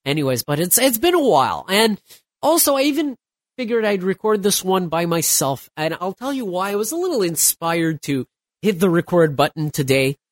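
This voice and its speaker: A man's voice: high-pitched, big pitch swings, normal speed, no echo, studio quality. A man in his thirties hosting his own podcast alone late at night. He is stuffed up from a cold and rambles loosely, with many restarts and fillers. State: stuffed up, getting over a cold. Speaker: podcast host.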